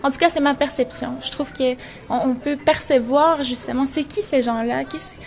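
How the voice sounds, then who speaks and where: A woman's voice: high-pitched, fairly even intonation, moderate pace, darkish tone. A woman in her twenties from Venezuela, a photographer and journalism student, speaking reflectively in an interview and searching for her words. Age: 20s.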